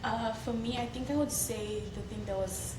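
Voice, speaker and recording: A woman's voice: on the high side, fairly even intonation, moderate pace, recorded from a distance. A young woman on a student panel, talkative and easygoing. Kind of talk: casual panel discussion. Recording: echoey room, off-mic, background noise.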